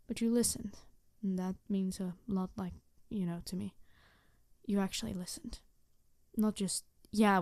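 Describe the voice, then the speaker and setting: A woman playing a snarky, passionate supervillain who is secretly tender, in a halting, sardonic ASMR audio roleplay. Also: medium pitch, warm tone, dry recording, studio quality, pitched below the performer's own voice, natural voice. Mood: tender, confessional. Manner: halting, sighing, emotionally candid, sardonic.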